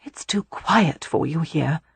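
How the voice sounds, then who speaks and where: Gentle, husky elder sister, voiced by a woman narrator. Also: low voice, animated, slow pace, no room sound, exaggerated voice. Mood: concerned, gentle. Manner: soothing, gently advising. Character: gentle, caring married elder sister and mother. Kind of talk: audiobook character voice.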